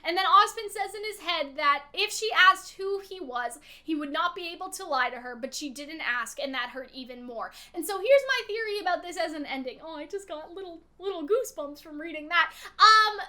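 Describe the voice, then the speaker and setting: A woman's voice: very high voice, animated, brisk, hardly any pauses, bright, forceful tone. A woman giving casual, opinionated story commentary, emphatic and exasperated, swearing freely and often checking in with the listener. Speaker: vlogger, story analyst.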